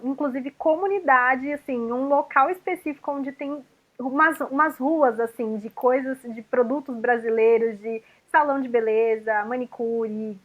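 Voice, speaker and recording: High-voiced psychologist woman. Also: narrow, tinny audio. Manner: relaxed, recounts experiences with many fillers. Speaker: psychologist guest. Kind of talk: casual conversational interview.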